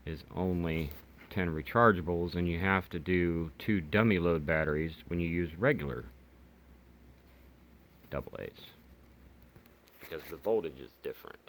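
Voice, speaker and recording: A man's voice: deep voice, a bit bright. A man giving a casual, unscripted hobbyist product demonstration, talking in a hesitant, rambling way. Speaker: hobbyist video host reviewing radios. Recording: clear background noise.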